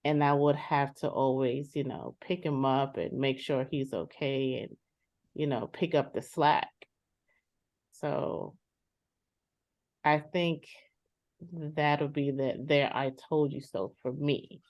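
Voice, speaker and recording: A woman playing a soft-spoken weary older sister. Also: sits low, fairly even intonation, slow, pauses often. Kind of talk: improvised dramatized therapy session.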